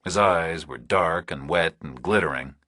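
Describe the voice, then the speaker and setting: A man reading scripted thriller narration as an audiobook narrator, in measured, terse phrases. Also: very deep voice, bright, forceful tone, breathy edge, dry recording, clean studio sound.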